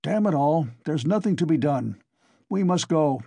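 A man's voice: on the high side, lively intonation, normal speed, vocal fry, mellow, husky, no room sound, heavily acted. A man voicing a big, impatient, irascible visitor in an audiobook, exasperated and given to cursing.

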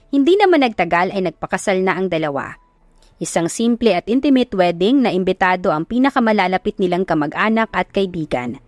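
A woman's voice: medium pitch, no room sound. A woman with a Filipino accent narrating a scripted love story in a dramatic audiobook style.

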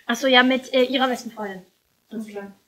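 Fast, high-voiced woman coaching auditions. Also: steady tone, fairly bright tone, slight background noise. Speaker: casting team member giving feedback. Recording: echoey room, distant mic, music behind.

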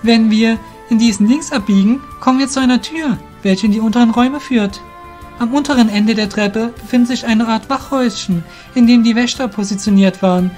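An earnest young thief, put on by a man for an audio drama. His voice is pitched well up and focused, over faint music. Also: a little mellow. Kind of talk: amateur audio drama acting.

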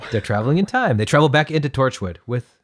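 Very quick-talking man, wry and joking. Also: medium pitch, big pitch swings. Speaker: podcast co-host. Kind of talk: casual joking commentary chat.

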